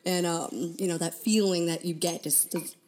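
A woman who grew up in California and Oregon chats as a podcast guest. Her voice sits fairly low for a woman, and she talks freely and laughs easily. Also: steady tone, moderate pace, background noise. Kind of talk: casual chat.

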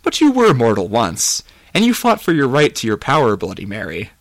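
A man's voice: mid-range voice, huge pitch swings, a little fast, dry recording. A man voicing a smug, triumphant male immortal in an audiobook, explaining things in a pleased, knowing way. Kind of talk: audiobook character voice.

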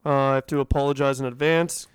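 Fast-talking nasal young man. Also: medium pitch, steady tone, clean tone, dry recording, clean studio sound. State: sick. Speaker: podcast co-host. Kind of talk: casual podcast chat.

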